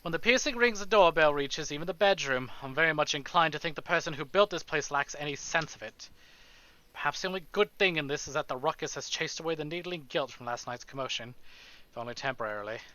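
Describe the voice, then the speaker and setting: Bright, forceful man streaming a game read-aloud. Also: a little high, fast, no echo. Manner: dramatic reading broken by casual swearing banter. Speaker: streamer reading a visual novel aloud. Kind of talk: live stream read-aloud with joking asides. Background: game music.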